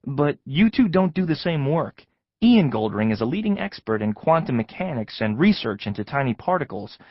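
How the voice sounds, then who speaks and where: Plainspoken detective, dry close sound. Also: male voice, mid-range voice, moderate pace, no room sound, lo-fi sound. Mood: wry, reassuring. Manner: casual, wry, steady. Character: easygoing, reassuring male investigator, wry and plainspoken. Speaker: actor in a radio play. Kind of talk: audio drama acting with noir-like narration.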